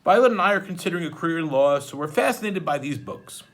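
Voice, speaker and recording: Man reading as a bookish, earnest boy, husky. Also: a little high, expressive, quick pace, voice pushed up. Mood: eager.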